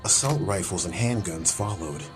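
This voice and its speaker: A man's voice: low-pitched, moderate pace, faint music behind. A man reading a scripted, dramatic story as an audiobook narrator.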